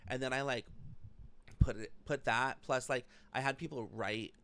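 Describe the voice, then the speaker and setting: An American man in his thirties talks as a podcast host. His voice sits at an ordinary male pitch, and his delivery is informal and conversational, like an easy one-on-one interview. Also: normal speed, fairly bright tone, smooth. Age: 30s.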